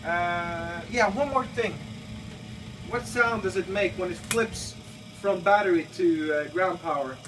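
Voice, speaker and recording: A man's voice: high voice, distant mic, noisy background. A man in his thirties from Norway, chatting casually in his home-built cockpit simulator, full of fillers and restarts while his son films. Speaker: flight simulator builder. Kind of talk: casual video request. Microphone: camera held by his son a few feet away.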